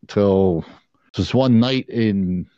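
A man's voice: on the low side, very slow, warm tone, dry recording, highs cut off. A man co-hosting a podcast, chatting casually and telling stories with frequent chuckles. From Philadelphia area, USA. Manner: laid-back storytelling, chuckles often. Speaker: podcast co-host. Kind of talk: casual chat.

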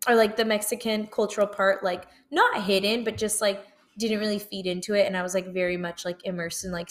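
Young woman, medium-pitched podcast host. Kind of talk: casual personal conversation.

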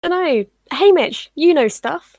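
A woman's voice: sits high, expressive, unhurried, darkish tone, no room sound. A woman playing a blunt thirteen-year-old girl from a children's home in an audio drama. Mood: matter-of-fact.

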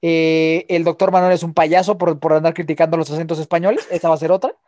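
A man's voice: fairly high pitch, little pitch movement, fast talker, darkish tone, no room sound, clean studio sound. A man with a Mexican accent hosting a podcast, teasing his co-hosts and swearing freely in casual banter. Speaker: podcast host. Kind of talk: casual chat.

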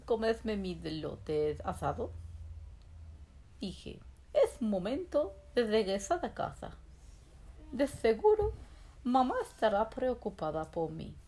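A woman voicing an excitable, childlike little boy rabbit who tells a children's bedtime story with wide-eyed exclamations and drawn-out words. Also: sits high, full character voice. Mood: excited.

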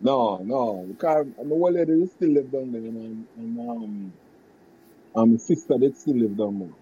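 Jamaican man, 50s, warm low voice, halting patois storytelling, slightly dull recording. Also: slight background noise. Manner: patois-laced, halting, many restarts and fillers. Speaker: guest recalling childhood. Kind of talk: casual reminiscing chat.

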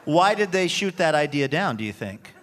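A man's voice: on the high side, sing-song, moderate pace, noisy background. A man hosting a radio show, interviewing conversationally on a live stage. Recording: live theater with audience behind. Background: audience.

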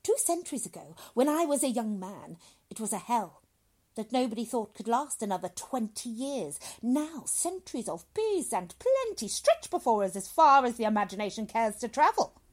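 A woman's voice: a little high, very animated, normal speed, voice pushed up. A woman voicing a severe, pompous old male doctor who lectures emphatically in a dramatic story reading. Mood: grand, luminous. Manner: emphatic, declamatory.

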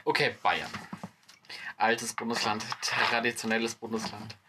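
A man co-hosting a casual podcast chat, dropping deadpan jokes. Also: on the low side, a little slow, background noise. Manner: joking, deadpan quips. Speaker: podcast co-host.

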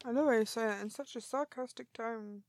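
A woman's voice: fairly high pitch, a little fast, a little mellow, dry recording, clean studio sound. A woman co-hosting a podcast, chatty and rambling, her voice phlegmy and congested. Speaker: podcast co-host. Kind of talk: casual podcast chat.

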